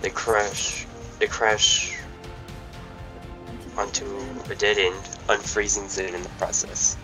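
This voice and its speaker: A man's voice: lowish voice, level tone, slow pace. A man reading the stage directions of a fan table read aloud, stumbling over words now and then. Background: background music.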